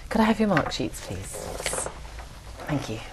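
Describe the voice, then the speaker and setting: A woman acting as examiner in a speaking exam, giving formal, measured instructions. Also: low-pitched, very animated, background noise. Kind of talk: scripted exam prompts.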